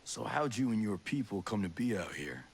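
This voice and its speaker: An actor playing a gruff, wry soldier in a video game, speaking in a dry, blunt, husky voice. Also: male voice, lowish voice, big pitch swings, moderate pace, full character voice. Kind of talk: video game cutscene acting.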